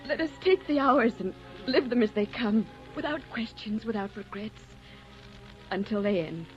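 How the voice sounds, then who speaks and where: Actress as high-voiced rapturous young baroness, radio romance. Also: female voice, animated, normal speed, lightly acted. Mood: anguished devotion. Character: passionate young baroness, rapturously in love, wistful. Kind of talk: 1940s radio romance acting. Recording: muffled vintage radio broadcast, faint music.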